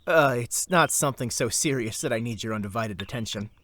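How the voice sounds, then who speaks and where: Man playing a lively, wry young brother. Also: medium pitch, brisk. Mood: casual. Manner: sarcastic, playful. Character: wry, teasing young merchant heir, big brother. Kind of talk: audio drama character acting.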